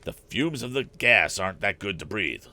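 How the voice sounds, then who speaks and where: A man's voice: fairly low pitch, lively intonation, normal speed, pushed and bright. A man voicing a serious, cautious grown man in a storybook, speaking in short, snappy sentences. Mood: serious, cautioning. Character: serious adult man, cautious, terse inventor's partner.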